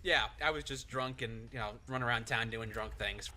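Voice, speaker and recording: A man's voice: mid-range voice, moderate pace, bright, forceful tone. A young man, a veteran, telling sheepish stories in a casual chat and laughing often. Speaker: co-host, veteran.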